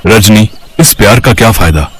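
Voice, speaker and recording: A husky, sly villainous husband. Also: male voice, lowish voice, normal speed. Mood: sly persuasion. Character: scheming young lover turned drunken, abusive husband. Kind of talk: melodramatic Hindi cartoon story acting.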